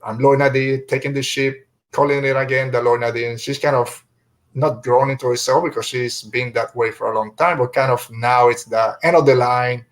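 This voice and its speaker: A man speaks as a podcast host in an easy conversational style. His pitch is average, and he talks through his thoughts informally, like someone chatting with guests. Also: fairly even intonation, moderate pace. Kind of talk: casual podcast book discussion.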